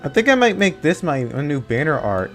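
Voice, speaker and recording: A man giving casual, jokey commentary over a game, easily excited. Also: a little high, fairly quick, soft background music. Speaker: gaming content creator.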